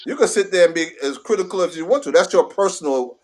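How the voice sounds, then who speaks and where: Middle-aged American man, fairly high-pitched, emphatic. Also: lively intonation, fast talker, a little mellow. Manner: emphatic, slangy, repeats himself for emphasis. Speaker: guest, veteran hip hop DJ and host. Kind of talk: casual chat.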